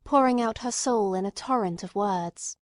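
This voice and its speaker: A woman's voice: mid-range voice, normal speed, dry recording, clean studio sound. A woman reading scripted literary narration as an audiobook narrator.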